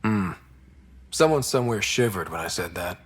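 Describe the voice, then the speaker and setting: An actor playing an easygoing young space explorer and leader in a video game, casual and wry. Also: male voice, fairly low pitch, animated, moderate pace, big-room sound, lightly acted. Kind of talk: video game dialogue acting.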